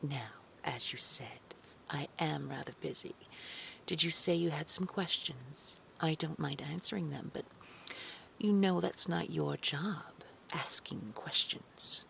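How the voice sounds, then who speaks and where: A low, creaky female boss of a secret order played by a woman actor drips with condescending sweetness. Her threats stay veiled under pet names, often sinking toward a breathy whisper, in audio drama style over faint noise. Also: takes it slowly, a bit bright, exaggerated voice. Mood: sugary, condescending. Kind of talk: scripted audio drama roleplay.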